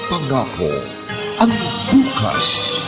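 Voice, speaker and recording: A man's voice: mid-range voice, wildly swooping pitch, very slow. A man announcing a radio drama in a formal, grand, declaiming scripted style. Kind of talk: formal scripted program introduction. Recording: loud music under the voice.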